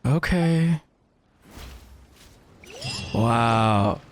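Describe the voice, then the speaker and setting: A man's voice: medium pitch, lively intonation, very slow, a little hiss or hum. A man giving casual, informal reaction commentary as he plays a video game. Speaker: gaming streamer.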